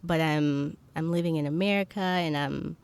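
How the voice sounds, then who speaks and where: Smooth, low-voiced young woman interviewing. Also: moderate pace, darkish tone. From Zambia, moved to the US at ten. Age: young adult. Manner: tentative, searching phrasing with many likes. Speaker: podcast host, interviewer. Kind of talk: casual conversational interview.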